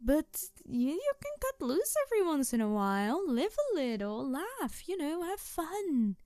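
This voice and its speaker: A woman voicing a playful, mischievous tanuki spirit girl in a roleplay audio drama, teasing the listener in an excitable, rambling way. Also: high-pitched, measured pace, no room sound, studio quality. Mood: excited, pleading. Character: playful, mischievous young-sounding tanuki trickster spirit girl, over two hundred.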